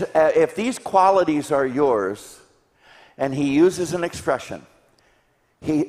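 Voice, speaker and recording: A man in his sixties preaching a sermon to a congregation with emphatic delivery, rhetorical questions and deliberate pauses for stress. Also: fairly high pitch. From grew up in Tanzania. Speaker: guest preacher. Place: large church sanctuary, indoors. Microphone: handheld microphone. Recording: echoey church hall sound.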